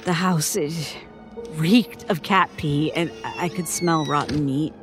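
An actress playing a frightened woman haltingly recounting a childhood horror in an audio horror drama, sounding haunted. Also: deep voice. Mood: uneasy, haunted. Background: music.

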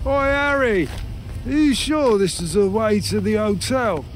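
A woman's voice: on the high side, lively intonation, a little slow, background noise. A woman playing a bossy, irritable tourist in an audio drama. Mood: irritated, uneasy. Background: music.

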